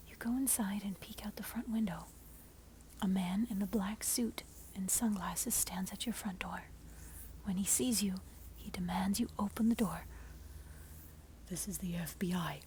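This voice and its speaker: A woman telling a scary story in a breathy, unvoiced ASMR whisper, close to the microphone. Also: medium pitch, steady tone, normal speed, poor audio. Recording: soft noise, mic scratching. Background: scratching and layered sounds.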